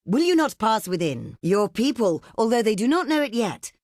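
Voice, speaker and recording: A woman playing a proud, shrewd desert queen in a video game, speaking in a formal, regal, measured way. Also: a little high, sing-song, darkish tone, no room sound, clean studio sound. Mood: diplomatic, persuasive. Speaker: game character voice actor. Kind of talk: theatrical video game voice acting.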